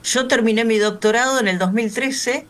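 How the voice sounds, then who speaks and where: A woman's voice: fairly high pitch, moderate pace. A woman in her sixties with a Río de la Plata accent, talking in a panel discussion in a chatty, anecdotal way with frequent fillers. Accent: Río de la Plata Spanish. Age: 60s.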